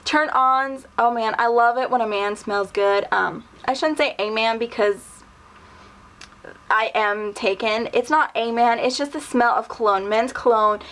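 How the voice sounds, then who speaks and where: Chatty young woman, slightly high voice. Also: unhurried, fairly bright tone. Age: 20s. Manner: rambling, chatty, lots of ums and little laughs. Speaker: vlogger. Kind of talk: casual tag video answering questions.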